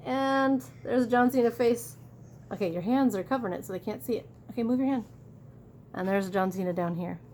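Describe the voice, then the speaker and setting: Higher-pitched woman reading a picture book aloud. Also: a little hiss or hum. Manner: chatty, animated, pointing out pictures. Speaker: elementary school teacher reading aloud. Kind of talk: picture-book read-aloud with casual asides.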